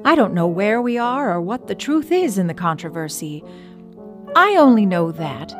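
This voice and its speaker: A woman's voice: medium pitch, natural voice. An actress voicing an independent, opinionated woman of the early twentieth century in a dramatic letter reading, candid and reflective.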